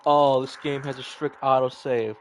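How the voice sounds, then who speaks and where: A man playing a visual novel, reading its narration aloud haltingly with repeated phrases and casual reactions. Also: medium pitch, flat delivery, slight background noise, poor audio. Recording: music behind the voice. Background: game music.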